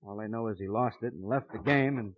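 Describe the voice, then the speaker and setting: An American actor playing a blunt, sardonic, plain-spoken police detective in a hard-boiled radio crime drama. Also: male voice, on the low side, fast, lightly acted. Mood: wry reporting. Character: Detective Muggavan, gruff, wry, plain-spoken male cop. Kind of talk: hard-boiled old-time radio acting. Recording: muffled vintage radio recording.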